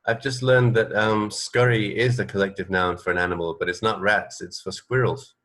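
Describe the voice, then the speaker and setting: A man co-hosting a radio show, chatting casually about trivia and thinking aloud in a halting way. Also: fairly low pitch, fairly even intonation, fairly bright tone.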